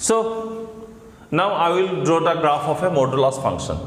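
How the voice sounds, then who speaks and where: A man with an Assamese Indian accent, a native Assamese speaker, teaching a mathematics class in short, repeated phrases with pauses between them. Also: a little high, sing-song. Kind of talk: classroom lecture in English with some Assamese. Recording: echoey reverberant room with background noise.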